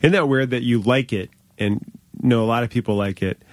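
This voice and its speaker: A man's voice: mid-range voice, a little fast, dry recording. A man hosting a casual conversation, asking questions in a curious, jokey way with lots of "like" and "um". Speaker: podcast host. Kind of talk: casual chat.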